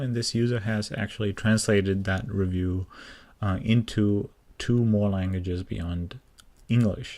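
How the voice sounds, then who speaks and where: A man's voice: sits low, darkish tone. A man walking through a website in a screencast, explaining plainly with many uh and um fillers. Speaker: software project founder giving a demo. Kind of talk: screencast walkthrough.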